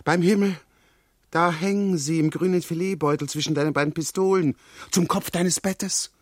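An actor playing an opinionated, exclamatory, mock-dramatic commentator in a literary radio play. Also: male voice, fairly high pitch, fairly even intonation, normal speed, no room sound, studio quality, close to an everyday voice. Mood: mock alarm.

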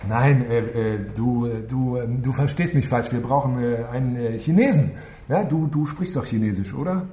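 An actor playing a brusque senior police chief in a naturalistic radio crime drama, sometimes stammering, otherwise authoritative. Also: male voice, medium pitch, moderate pace, warm tone, close to an everyday voice. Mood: awkward, persuading. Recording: roomy sound with faint noise.

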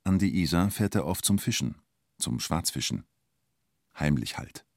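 An actor playing a narrator in a radio play, telling events in clipped, colloquial present-tense phrases. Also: male voice, deep voice, animated, normal speed, gravelly, dry recording, studio quality. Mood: terse. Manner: terse, colloquial, short phrases.

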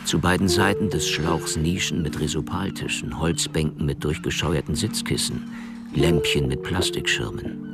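A man narrating a scripted crime radio play in a measured, composed, literary way. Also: extremely low pitch, gravelly, over loud music.

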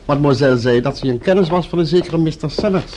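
Actor as mellow, polite French hotel clerk. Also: male voice, fairly high pitch, fast talker, a little hiss or hum, close to an everyday voice. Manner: courteous, formal, sprinkles French titles. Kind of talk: Dutch radio play acting.

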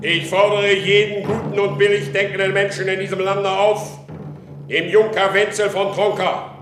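Guy playing an enraged rebel boss in a radio play, super high strained voice, barking orders, echoey room. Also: flat delivery, moderate pace, over music, close to an everyday voice. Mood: solemn, proclaiming. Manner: declamatory, commanding, shouted. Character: wronged horse dealer turned furious, vengeful rebel leader. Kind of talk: German radio play acting.

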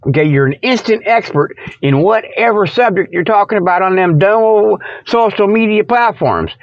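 A dry, muffled lo-fi radio recording of a man hosting his own show. His voice sits a little high for a man. He rambles through a folksy, irreverent comic monologue, wandering off on tangents as he goes. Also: expressive, moderate pace, no room sound. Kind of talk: rambling comic monologue with mock sales pitches. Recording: dull lo-fi radio sound.

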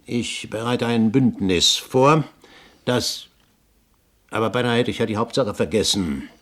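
Pompous, aristocratic old pirate captain. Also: male voice, on the low side, unhurried, a bit bright. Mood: boastful, regretful. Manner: eloquent, pompous, theatrical phrasing. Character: aristocratic older pirate captain, proud, cunning, grandiose schemer. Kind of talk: 1970s German radio drama acting.

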